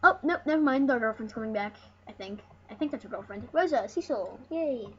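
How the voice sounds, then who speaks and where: Female let's play host, higher register, rambling and jokey, sweary at times, on a dull, slightly noisy mic. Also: animated, fairly quick, a bit bright, breathy edge. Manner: joking, rambling, casually sweary. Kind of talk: casual gameplay commentary, reading game dialogue aloud. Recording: muffled lo-fi mic with background noise.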